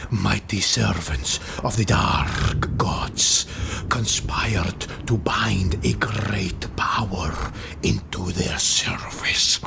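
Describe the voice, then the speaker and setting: A man voicing a cruel, grim beast-creature in a fantasy audiobook, speaking in a hoarse whispering growl with ominous, drawn-out phrasing. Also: low-pitched, heavily acted. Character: cruel old beast-creature Hadar, fanged, grim, menacing. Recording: noisy home recording.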